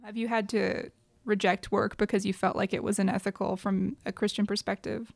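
A woman's voice: mid-range voice, level tone, fairly quick, creaky, no echo. A woman asking questions as an interviewer.

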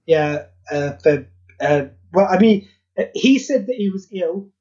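A podcast guest chatting at a middle pitch, animated and expressive, often acting out what others said in quick put-on voices. The recording is a touch dull and lo-fi. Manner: animated, quotes others in acted voices, frequent fillers. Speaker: podcast guest, audio drama creator. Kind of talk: casual chat. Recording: slightly dull lo-fi audio.

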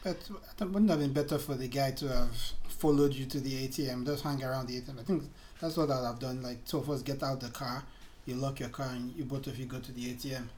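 A man co-hosts a relaxed chat, asking follow-up questions and offering drily sceptical pushback. His voice sits mid-range with a faint hiss behind it. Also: medium pitch, quick pace. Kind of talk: casual chat.

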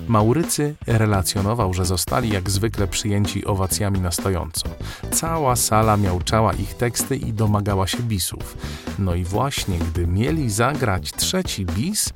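Guy reading a kids story, fairly deep voice, wry and expressive, bit of music underneath. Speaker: narrator. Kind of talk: scripted storybook narration for children. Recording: faint music behind narration.

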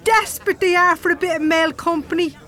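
A cheeky Irish barmaid in a radio play. Her voice is high and bright, with a playful, flirtatious, teasing way of talking. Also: fairly even intonation, fast. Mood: cheeky, friendly. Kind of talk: radio drama dialogue.

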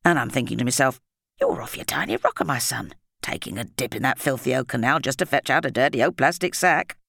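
A woman's voice: very deep voice, animated, a little fast, creaky, a bit bright, dry recording, clean studio sound, exaggerated voice. A woman voicing a pompous, bossy, self-important middle-aged man in an audiobook story. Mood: boastful, self-important. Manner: breathless spluttering and puffed-up boasting.

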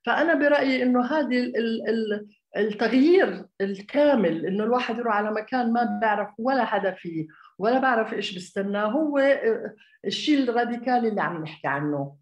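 A woman's voice: fairly high pitch, animated, quick pace, muffled recording. A woman raised in Jordan, an architect giving a conversational public talk, hesitant, with many fillers and restarts. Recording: echoey room.

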